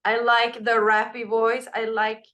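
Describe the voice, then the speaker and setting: A woman singer with a naturally raspy voice, answering interview questions in a loose, candid way, full of "like" and "you know". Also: on the high side, completely flat delivery, a little slow, a bit bright. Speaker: band singer.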